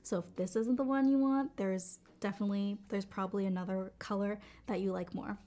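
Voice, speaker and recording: A woman hosting a casual live-stream product demo from her home basement studio, talking in a chatty way with many fillers and restarts, her voice a bit deep from being sick. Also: fairly even intonation, brisk, dark tone. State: sick, voice deeper than usual. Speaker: livestream product host.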